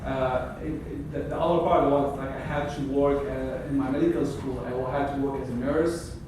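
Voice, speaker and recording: A man's voice: mid-range voice, steady tone, moderate pace. Syrian-accented man speaking hesitantly in echoey hall. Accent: Syrian Arabic. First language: Arabic. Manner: hesitant, searching for words, many restarts. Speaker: graduating surgical resident. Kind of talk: graduation speech. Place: wood-paneled hall indoors at a podium. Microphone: far camera. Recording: echoey hall from far, noisy.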